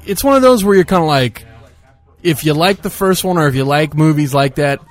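A man's voice: on the high side, lively intonation, fairly quick. A man co-hosting a podcast, chatting casually about films and comics. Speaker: podcast co-host.

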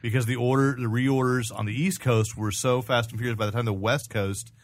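A man's voice: mid-range voice, steady tone, a little fast. A man hosting a casual news chat among friends. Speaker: podcast host.

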